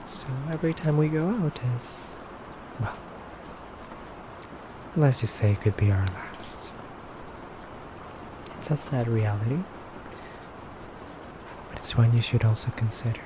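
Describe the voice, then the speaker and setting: A man playing a stern but courteous femboy vampire interrogator in a soft, deliberate ASMR roleplay, often near a whisper. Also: mid-range voice, background noise, natural voice. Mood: stern warning.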